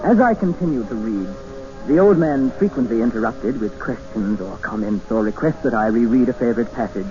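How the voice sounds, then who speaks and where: Casting: man playing formal, earnest captive in vintage radio drama, medium pitch, dull recording with music. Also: animated, fairly quick, close to an everyday voice. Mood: measured narrating. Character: trapped Englishman, educated, increasingly desperate captive narrator. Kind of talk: 1950s radio drama acting.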